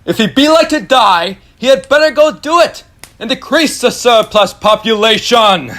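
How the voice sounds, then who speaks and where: A man's voice: extremely high pitch, expressive, normal speed, fairly bright tone. A young man playing a hearty, laughing Christmas spirit on a school stage, who turns grave at times. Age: young adult. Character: booming jovial male Christmas spirit. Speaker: student actor.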